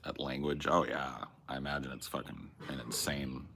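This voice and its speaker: A man with a deep, bright and forceful voice chats loosely on a livestream. He rambles and swears as he answers viewers, stumbling and restarting mid-thought, and talks. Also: flat delivery, moderate pace, slight background noise. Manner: rambling, profane, restarts and self-corrections. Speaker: streamer host. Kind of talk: casual livestream chat reading viewer messages.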